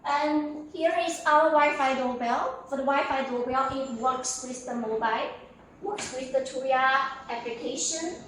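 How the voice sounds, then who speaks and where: A woman giving a spoken product walkthrough as a sales representative, in simple, halting phrases with repetitions. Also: sits high, fairly bright tone. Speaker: company sales representative giving a showroom tour. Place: indoor product showroom. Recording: echoey room, distant mic, faint noise.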